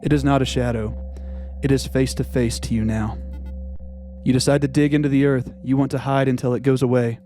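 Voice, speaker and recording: A man voicing a solemn, ominous narrator of a dream sequence in a video game, grave and measured. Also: mid-range voice, steady tone, dark tone, soft background music, voice pushed down, lightly acted.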